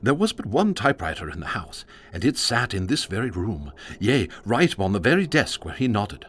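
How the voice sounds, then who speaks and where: An actor playing the grandiloquent narrator of a gothic radio drama, telling the scripted tale in a dramatic manner. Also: male voice, lowish voice, sing-song, a little fast, a bit bright, husky, exaggerated voice. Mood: wry storytelling. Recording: music behind narration.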